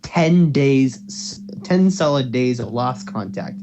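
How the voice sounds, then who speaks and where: A man's voice: medium pitch, animated, slow pace. A man reading fan fiction informally to a co-host over a voice call, stumbling over words and restarting. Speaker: story reader and co-host. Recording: noisy home voice call recording.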